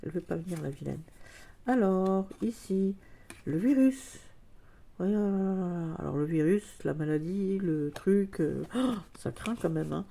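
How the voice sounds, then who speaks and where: A woman's voice: fairly low pitch, expressive, a little slow, gravelly, dark tone. A woman chatting casually in a vlog, hesitant with many fillers, her nose stuffed up from a cold. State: congested, a cold. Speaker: vlogger. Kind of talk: casual chat.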